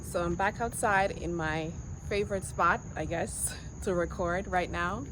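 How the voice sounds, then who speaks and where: A woman in her forties, originally from Jamaica, vlogging to camera outdoors on a quiet street in an earnest, reflective way. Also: fairly low pitch. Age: 40s. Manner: earnest, reflective, with pauses and restarts. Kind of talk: casual vlog to camera. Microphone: handheld camera. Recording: outdoor noise with insects. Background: insects and passing vehicles.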